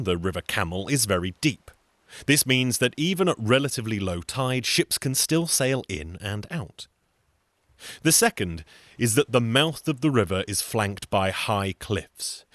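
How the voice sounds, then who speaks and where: A man's voice: on the low side, fairly bright tone, no echo. A man telling a comic folk tale in a theatrical, conversational way, with wry, sardonic asides. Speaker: storyteller, solo show performer.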